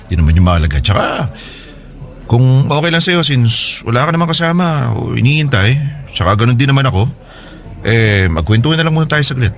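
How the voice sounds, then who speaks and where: A man's voice: mid-range voice, very animated, a little fast. An actor with a Filipino accent playing a troubled, hesitant married man in a conversational radio drama style. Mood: troubled, confiding.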